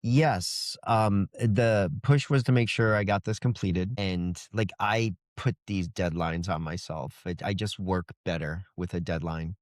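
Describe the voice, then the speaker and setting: A man with a low-pitched voice talks casually as an interview guest. His delivery is conversational and loose, as if thinking aloud. The sound is dry, with very little room. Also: steady tone, unhurried, few pauses, no room sound. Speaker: guest author.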